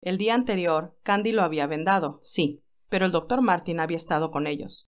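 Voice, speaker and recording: A woman's voice: lowish voice, a little fast. A woman reading a scripted romantic story as its narrator, in a dramatic storytelling style.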